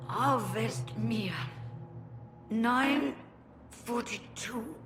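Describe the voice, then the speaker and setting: A woman playing a frail, dying imprisoned mage in a fantasy game, speaking faintly and haltingly with urgency. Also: on the high side, background noise. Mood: urgent pleading. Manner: faint, halting, urgent speech with long pauses. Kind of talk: dramatic fantasy game voice acting.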